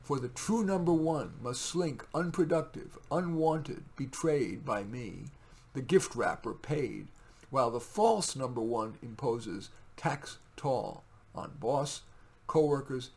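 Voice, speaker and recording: A man's voice: medium pitch, slow, hardly any pauses, darkish tone. Man giving an emphatic poetry reading. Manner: emphatic, theatrical when reading verse. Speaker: poet reading own work. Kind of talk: poetry reading with casual asides.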